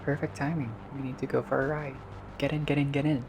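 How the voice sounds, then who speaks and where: A man doing a casual game playthrough, reading dialogue aloud and reacting with expressive, slangy asides. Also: mid-range voice, fast, background noise. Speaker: gaming video player reading dialogue aloud.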